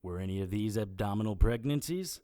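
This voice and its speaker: A wry male investigator played by an actor tells his case like a noir audio drama. His voice is low and dark, recorded dry, with a deadpan delivery that stays unimpressed by the strange. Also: brisk, no echo, lightly acted. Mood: probing. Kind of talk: first-person detective audio drama narration.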